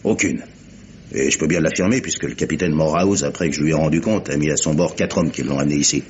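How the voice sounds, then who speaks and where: An actor playing a cocksure, blustering seafarer giving testimony in an old French radio drama, assertive and colloquial. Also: male voice, extremely low pitch, quick pace, a little mellow. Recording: dull, noisy old radio recording.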